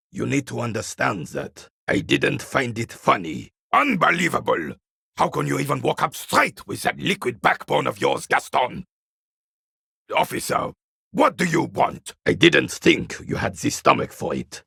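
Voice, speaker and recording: An actor playing a proud, bitter old royalist soldier in a video game, stern and disdainful, with a gravelly, raspy voice. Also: male voice, medium pitch, pushed and bright, no room sound, studio quality, exaggerated voice. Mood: stern disapproval, then scornful. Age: older. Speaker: retired carabinier working as a guard. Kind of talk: video game character dialogue.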